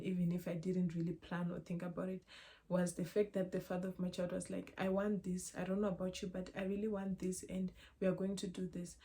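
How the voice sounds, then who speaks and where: A young woman from Zimbabwe, speaking in a halting, emotional, reflective way in a personal vlog. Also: medium pitch, dark tone. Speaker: vlogger.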